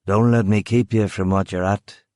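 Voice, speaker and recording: A man reading a story, voicing a drunk middle-aged former sailor with a Northern Irish accent and a slow drawl. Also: lowish voice, steady tone, a little mellow, dry recording, studio quality, lightly acted. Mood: drunken drawl.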